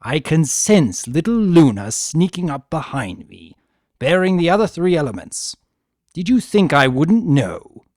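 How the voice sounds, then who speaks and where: An urbane spirit of chaos from a fantasy story, acted by a man, swinging widely in pitch. He is sullen and volatile, drawing words out slowly with theatrical emphasis. The sound is dry and close. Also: very animated, darkish tone, no room sound. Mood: irritated, mocking. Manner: theatrical, drawn-out, emphatic delivery. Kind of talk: dramatic fan fiction reading.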